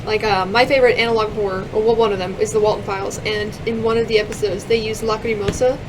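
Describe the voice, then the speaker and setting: A woman's voice: medium pitch, little pitch movement, fast talker, recorded from a distance, background noise. A young woman hosting a radio show, chatting casually about music.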